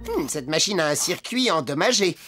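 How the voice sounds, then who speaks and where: Un comédien de dessin animé qui joue un réparateur affairé et serviable. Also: male voice, a little high, sing-song, moderate pace. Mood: preoccupied. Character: busy, obliging male cartoon repairer. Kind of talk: children's cartoon dub. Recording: children's music behind.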